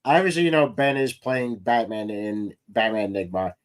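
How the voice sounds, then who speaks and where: Guy hosting a casual chat show, lowish voice, talks like a writer catching up with a guest. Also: steady tone, normal speed. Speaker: podcast host and comics writer.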